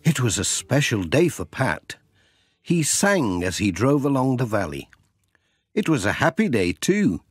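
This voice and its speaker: Male children's audiobook narrator; lively intonation, slightly husky tone, close dry recording. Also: mid-range voice, unhurried, no room sound. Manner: expressive storytelling.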